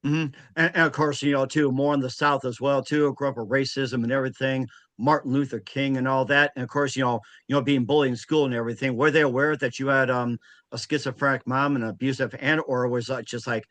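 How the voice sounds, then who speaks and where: A man's voice: mid-range voice, steady tone, brisk, a bit bright, dry recording. A man hosting a talk show, chatting casually and putting informal questions to his guest. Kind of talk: casual interview chat.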